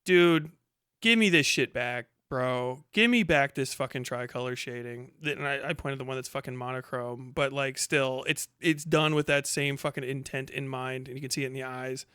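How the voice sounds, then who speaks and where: A man's voice: medium pitch, normal speed, bright, forceful tone, clear, resonant tone, no echo. A man giving casual, slangy, profanity-laden live reaction commentary as a streamer, close to the mic.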